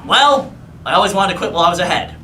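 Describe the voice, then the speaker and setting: A man's voice: high voice, animated, fast, distant mic, noisy background. A man telling a comic tale at a live puppet show, theatrical and joking. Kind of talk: animated comic storytelling to an audience. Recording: echoey room, music behind.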